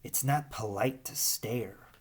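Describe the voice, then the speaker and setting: A man's voice: lowish voice, slow, breathy edge. A man voicing a fussy old female snake in a storybook, with a whining, chiding manner.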